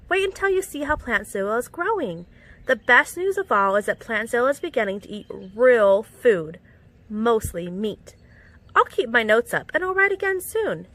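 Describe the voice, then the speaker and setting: A woman reading a children's picture book aloud in an expressive, animated storytelling manner with clear enunciation. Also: fairly high pitch. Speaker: storytime reader. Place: outdoors among plants.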